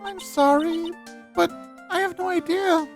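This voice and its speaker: A man voicing a polite, earnest little girl spirit medium for a video game, speaking in a refined, halting way. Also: extremely high pitch, steady tone, soft background music. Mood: politely curious. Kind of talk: video game dialogue voice-over.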